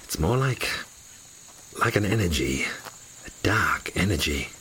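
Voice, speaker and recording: A man playing a brooding, commanding fallen angel in a fantasy audio drama, speaking with measured, wry politeness. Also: very animated, fairly bright tone, slight background noise, full character voice, poor audio. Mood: hushed, serious. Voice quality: deep and husky.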